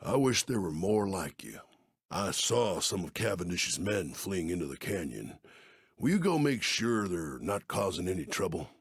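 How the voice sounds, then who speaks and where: A man's voice: low voice, sing-song, moderate pace, breathy edge, dry recording, studio quality, exaggerated voice. A man playing a folksy, aging, kindly but worried Old West sheriff in a video game, with an American Western accent and neighborly, drawling speech. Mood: helpful, concerned. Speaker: mission-giving game character. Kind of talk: video game character acting.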